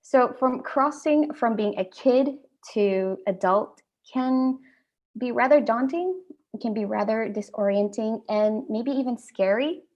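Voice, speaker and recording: A young adult woman with an Indian accent, hosting an online panel talk in a friendly, measured way with frequent ums. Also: on the high side, a little mellow, highs cut off. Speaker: host.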